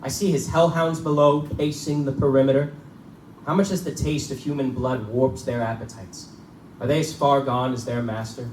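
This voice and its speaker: A man's voice: medium pitch, fairly even intonation, moderate pace, lightly acted. A man playing an uneasy but defiant hunter who has become the prey, in a live theatrical horror drama. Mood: weary dread. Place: live performance in a bookstore. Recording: distant, echoey live room recording with noise.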